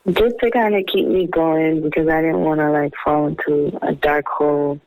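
A woman's voice: low-pitched, fairly even intonation, moderate pace, a little mellow, poor audio. A woman from the United States, a singer taking part in a phone interview, talking in an upbeat, casual and self-assured way. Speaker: singer, guest on a phone call.

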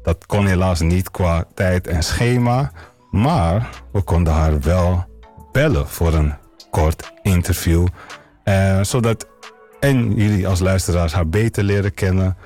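A man's voice: low-pitched, measured pace, darkish tone, soft background music. A man from the Netherlands with a Dutch accent, hosting a radio show and interviewing a guest in a casual, hesitant way with many fillers.

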